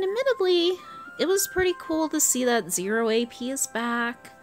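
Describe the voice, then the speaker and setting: A high-pitched American woman hosts a gaming video over clearly audible game music. She talks slowly and casually, sounding tired as she thinks aloud through her play. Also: a bit bright. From US East Coast. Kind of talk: casual gameplay commentary.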